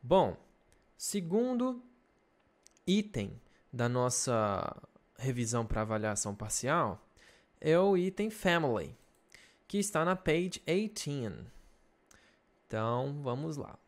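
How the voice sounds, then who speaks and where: Slow, patient male English teacher. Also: mid-range voice, sing-song. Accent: Brazilian Portuguese. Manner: slow, patient explaining with check-in questions. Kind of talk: recorded video lesson.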